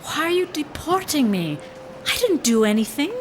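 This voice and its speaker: A woman's voice: high-pitched, very animated, normal speed, slight background noise. A woman playing a defiant, downcast young musician in a radio drama. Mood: indignant.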